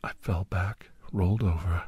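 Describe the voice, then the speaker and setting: Solemn doctor-narrator for ASMR war drama, played by a man: deep, breathy, intense, close and dry. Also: fairly even intonation, a little mellow, no room sound. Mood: grave, shaken. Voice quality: breathy, husky, often near whisper. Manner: halting, short phrases, solemn and intense. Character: doctor recounting a harrowing battle beside his young ward. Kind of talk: dramatic first-person ASMR storytelling.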